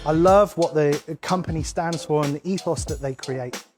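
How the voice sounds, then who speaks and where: A man's voice: a little high, normal speed, darkish tone. A man from England telling his story as a business owner in a promotional testimonial. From England, near London. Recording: music bed under the voice. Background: music.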